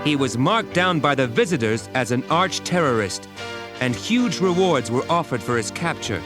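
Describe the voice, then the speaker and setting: A man's voice: medium pitch, lively intonation, moderate pace. A man giving scripted, dramatic narration as the storyteller of an audio storybook. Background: music.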